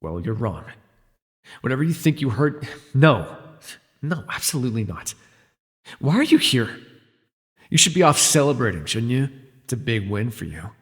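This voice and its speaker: A man playing a jaded, wry imprisoned telepath supervillain in an ASMR audio roleplay, speaking in a sardonic, weary, gravelly way with sighs. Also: mid-range voice, very animated, moderate pace, long pauses, fairly bright tone, long reverb tail. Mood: defensive, forceful.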